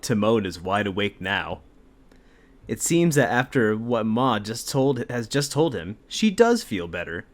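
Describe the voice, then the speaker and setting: A man with a mid-pitched voice and animated intonation. He narrates fan fiction as an amateur host and slips easily into amused, casual joking.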